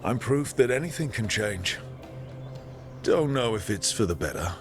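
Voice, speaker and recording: A slow, low-voiced fantasy hero. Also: male voice, big pitch swings. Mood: reflective. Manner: short, unhurried replies. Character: calm, supportive male fantasy hero, gently wry. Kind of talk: video game dialogue acting. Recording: music under dialogue.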